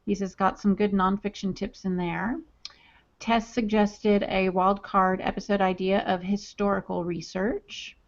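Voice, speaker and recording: A woman's voice: mid-range voice, level tone, a little slow. A woman co-hosting a writing podcast, reading listener chat comments aloud in an upbeat, chatty way. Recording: dull lo-fi audio.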